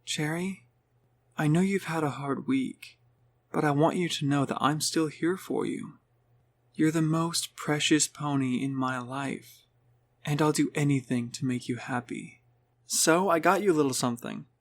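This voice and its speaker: A man voicing a gentle, encouraging young male pony, an older brother who speaks in a soft, coaxing, concerned way in a read-aloud story. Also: a little high, unhurried, voice pushed up. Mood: tender, earnest.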